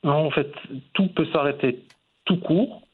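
A man in his forties giving measured, reflective answers as the guest in an interview. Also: a little high, slightly rough, phone-quality sound. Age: 40s.

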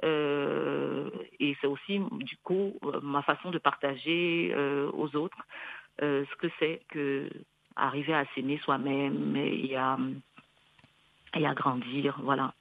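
A woman whose first language is Bassa, a singer and music school founder, speaking reflectively in a radio interview with frequent hesitations and restarts. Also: fairly even intonation, slow pace, creaky, no room sound, narrow, tinny audio.

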